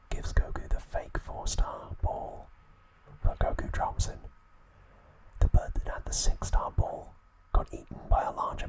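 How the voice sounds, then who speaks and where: A man hosting ASMR, whispering in a breathy, unvoiced voice with gentle, deliberate phrasing. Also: low-quality recording. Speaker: ASMR channel host. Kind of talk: whispered ASMR narration and trivia.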